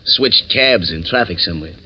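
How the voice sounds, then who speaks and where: An actor playing a cold-blooded, bossy gang lieutenant, clipped and slangy, in a 1930s radio drama. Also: male voice, deep voice, expressive, moderate pace, breathy edge. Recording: muffled, noisy 1930s radio recording.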